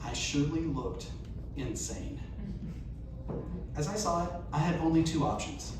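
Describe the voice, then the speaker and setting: A man's voice: on the high side, measured pace, music in the background. A man reading a humorous first-person story aloud to an audience, acting out its questions and dialogue as he goes. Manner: performed delivery, acting out dialogue and self-questions. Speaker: reader at a live storytelling event. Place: live event venue. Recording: echoey, noisy room recorded from far off.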